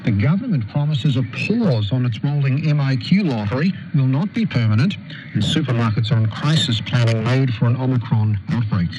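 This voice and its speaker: New Zealand newsreader on noisy shortwave radio. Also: male voice, mid-range voice, lo-fi sound. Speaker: radio news reader. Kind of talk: scripted news bulletin.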